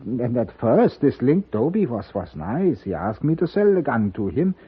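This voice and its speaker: An actor playing a frail, fearful old German gunsmith turned storekeeper in a radio western, speaking with a German accent in halting, old-world phrasing. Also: male voice, mid-range voice, sing-song, breathy edge. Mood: sorrowful. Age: older. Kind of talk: 1950s radio western acting. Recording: thin narrow-band old radio recording.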